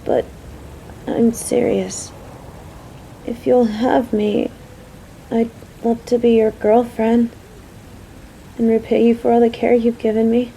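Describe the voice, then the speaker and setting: Casting: actress as female warehouse coworker, smitten, motherly and fretful; higher register, soft warm tone; intimate romantic audio roleplay, earnest delivery. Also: level tone, takes it slowly, pauses often, a little hiss or hum, rough recording. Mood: tender, earnest. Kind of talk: scripted romantic audio roleplay spoken to the listener.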